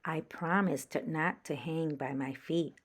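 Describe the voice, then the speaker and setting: A woman's voice: low voice, slow pace. Storybook young bat girl, played by a woman: earnest, childlike, a little confused. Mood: wistful.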